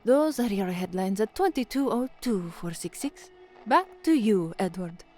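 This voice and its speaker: A woman's voice: mid-range voice, animated, measured pace, a little mellow, soft background music, natural voice. An actress playing an anxious young working-class woman and trainee newsreader in an audio drama. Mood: formal, composed. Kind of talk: audio drama acting with first-person narration.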